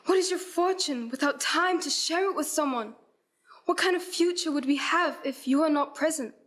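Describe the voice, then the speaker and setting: A woman's voice: sits high, moderate pace, a bit bright. A woman playing a heartbroken but resolute young fiancée in a radio play, speaking earnest, formal period lines. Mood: reproachful. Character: Belle, heartbroken, resolute young fiancée releasing her betrothed.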